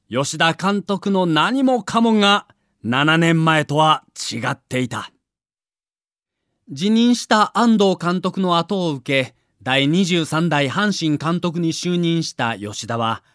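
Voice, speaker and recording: A man's voice: high voice, fairly bright tone, no echo, studio quality. A man reading a scripted documentary narration with a theatrical storyteller's delivery.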